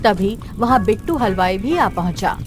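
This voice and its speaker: A woman's voice: medium pitch, expressive, moderate pace, over music. A woman narrating a scripted children's cartoon story as the storyteller.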